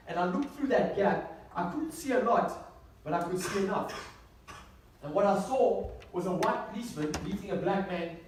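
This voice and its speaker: A man's voice: high voice, a little mellow. A man giving a motivational seminar talk to a live audience, emphatic, repeating key phrases and asking rhetorical questions. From South Africa. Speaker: motivational speaker. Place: echoey indoor venue with an audience. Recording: echoey room, distant mic, faint noise.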